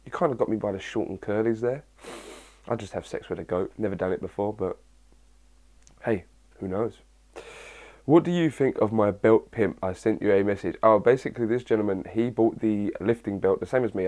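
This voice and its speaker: Husky-voiced guy chatting. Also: fairly low pitch, warm tone. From England. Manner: chatty and rambling, with many fillers. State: husky, early-morning voice. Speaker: fitness vlogger answering viewer questions. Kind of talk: casual chat to camera.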